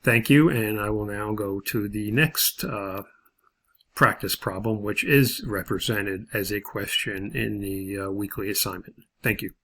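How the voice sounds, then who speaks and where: A man's voice: fairly low pitch, unhurried, fairly bright tone. A man giving an informal video lesson as an instructor, explaining methodically step by step in a hesitant way.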